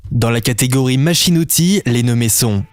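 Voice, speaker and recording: A man announcing nominees in a slow, even voice-over. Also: mid-range voice. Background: music.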